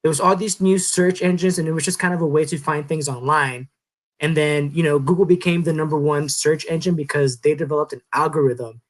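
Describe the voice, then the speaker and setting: A man's voice: on the high side, fairly quick. Thirty-something man, casual explainer. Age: 30s. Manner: relaxed, explanatory, many fillers and restarts. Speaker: podcast co-host. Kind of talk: casual podcast chat.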